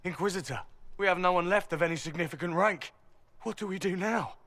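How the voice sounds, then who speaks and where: A man's voice: high-pitched, a little fast, a bit bright. An actor playing an earnest male soldier in a fantasy video game, formal and deferential to a superior. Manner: formal, dutiful.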